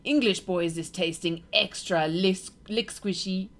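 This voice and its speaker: A woman voicing brutish, gloating male giants who shout as they gallop past. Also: on the low side, a little slow.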